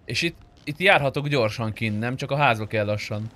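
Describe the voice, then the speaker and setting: A man's voice: mid-range voice, bright, forceful tone. A man chatting informally and asking questions while playing an online roleplay game. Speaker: gamer. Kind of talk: casual chat during online roleplay gameplay.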